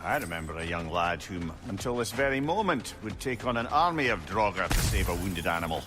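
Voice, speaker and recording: Game role: wry old Scottish sage and sidekick, eloquent, very animated intonation. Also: male voice, deep voice, fairly quick, noisy background. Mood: wry, fond. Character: chatty, wise, wry old male storyteller companion. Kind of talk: video game dramatic dialogue.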